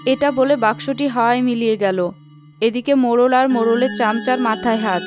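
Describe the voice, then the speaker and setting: Female storyteller, slightly high voice, scripted cartoon narration, music behind. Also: steady tone, fairly quick.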